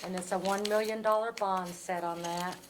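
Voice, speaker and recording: A woman's voice: medium pitch, steady tone, moderate pace. A woman speaking as a judge, formally reading out rights and charges in a persistent, procedural way. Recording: noisy courtroom recording.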